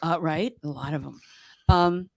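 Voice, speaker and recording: A woman actor talks about her stage work, now and then reaching for a word and laughing it off. Her voice is on the low side for a woman. The sound is dry and close. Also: measured pace, gravelly, no echo. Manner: searching for words, easy laughs. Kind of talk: casual panel discussion.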